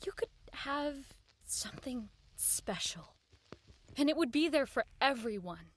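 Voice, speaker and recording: A woman's voice: sits high, animated, a little slow. A woman acting in a radio drama as an earnest, idealistic broadcaster, restrained and a little hesitant. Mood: hopeful, fervent.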